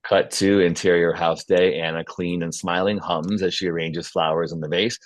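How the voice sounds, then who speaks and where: A man's voice: sits low, fairly even intonation, moderate pace, no room sound. A man speaking as host and narrator of an informal script table read. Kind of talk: stage-direction reading and casual feedback discussion.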